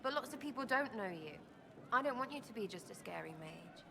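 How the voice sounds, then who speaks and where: A woman's voice: on the high side, animated, a little fast, gravelly, in a large hall, background noise. An actress playing a blunt, wary, irreverent young woman in a video game, with choppy, slangy phrasing. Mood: earnest, wary. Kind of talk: video game dialogue acting.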